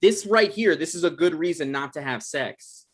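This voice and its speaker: A man's voice: on the high side, expressive, slightly rough. A man playing an awkward, apologetic young man who turns dryly sarcastic when cornered, in a comedy table read. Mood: defensive. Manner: hesitant, halting, dry.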